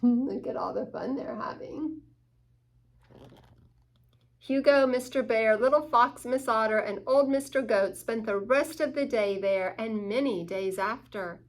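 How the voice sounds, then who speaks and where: Slow-paced woman reading a storybook. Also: on the high side. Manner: expressive, slow, deliberate storytelling. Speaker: storytime reader. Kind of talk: children's picture-book read-aloud with asides to young listeners.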